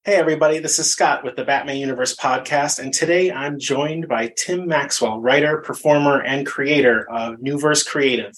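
A man's voice: mid-range voice, normal speed, few pauses, a bit bright, poor audio. A man interviewing a guest on a podcast in a casual, conversational style.

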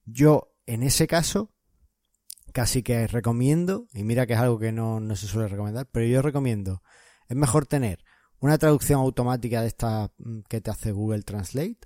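Male co-host, warm even tone, dry mic, talks listeners through advice. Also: mid-range voice, moderate pace, no room sound. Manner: explanatory, advising listeners. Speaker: podcast co-host. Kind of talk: casual chat.

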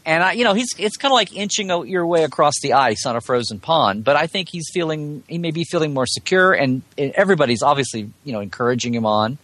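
A man's voice: a little high, animated, quick pace, few pauses, fairly bright tone, no echo. A man speaking as a podcast guest in a casual, rambling chat about sci-fi, wry and jokey in his delivery. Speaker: podcast guest, Star Trek commentator.

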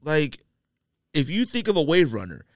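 A man's voice: fairly high pitch, wildly swooping pitch, moderate pace, no room sound, clean studio sound. A man co-hosting a podcast in casual, opinionated chat, talking in a rambling, repetitive, slangy way. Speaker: podcast co-host.

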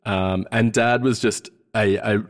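A man's voice: fairly low pitch, steady tone, slow. An Australian man in his thirties chatting casually as a podcast guest, hesitant and searching for his words. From Australia, born in New Zealand. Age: 30s. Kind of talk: casual interview chat.

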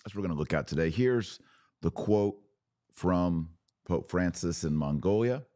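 A man hosting a podcast, giving religious commentary in a deliberate way with pauses and emphatic phrasing. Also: low voice, lively intonation, dark tone, dry recording, studio quality. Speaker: podcast host.

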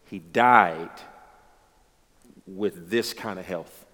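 A man's voice: sits low, extremely slow, bright, forceful tone, big-room sound. A man in his late thirties, a pastor, talking in a dialogue with an emphatic, preacherly way of speaking. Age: 30s.

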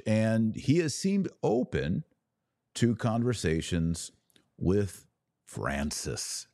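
A man's voice: lowish voice, darkish tone, no echo, clean studio sound. A man with an American accent hosting a podcast, giving casual, conversational solo commentary with wry, informal asides. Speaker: podcast host and religious commentator.

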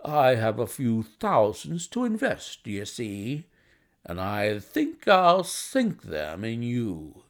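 A male reader acts out a blunt, wheedling gentleman with money to invest, in storybook style. The delivery is emphatic and slowly stretched, with a gravelly creak and big swings in pitch. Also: huge pitch swings, exaggerated voice. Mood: bustling, persuasive. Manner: brisk, abrupt, emphatic, drawn-out stressed words. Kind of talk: audiobook character voice.

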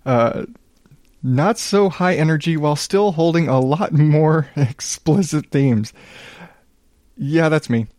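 A man in his thirties hosting his own podcast, rambling casually in a calm, smooth voice and poking fun at himself. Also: on the high side, slow, no echo, clean studio sound. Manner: rambling, self-deprecating, reads reviews aloud. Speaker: podcast host. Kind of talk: casual solo podcast monologue.

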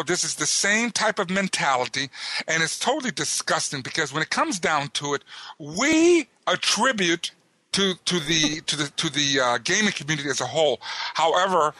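A man with a Southern US accent delivers an indignant podcast rant, repeating himself for emphasis. His voice sits a little high and sounds bright. The recording is close and dry. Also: no echo. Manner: indignant, repetitive emphatic phrasing. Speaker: podcast co-host.

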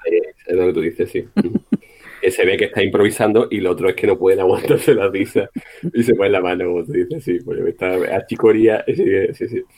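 A man chatting casually, making measured, hesitant remarks. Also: low-pitched, darkish tone, husky. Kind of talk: casual chat.

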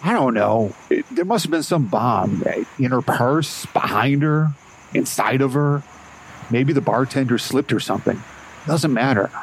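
A man's voice: medium pitch, lively intonation, a little slow, slight background noise, poor audio. An actor playing a shaken young man covered in gore, talking bluntly in a panic. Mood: tense. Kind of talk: audio drama acting.

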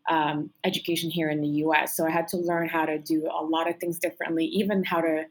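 A woman from Morocco, whose first language is not English, answering interview questions in a reflective, conversational way with frequent pauses and restarts. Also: low-pitched, flat delivery. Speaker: author guest.

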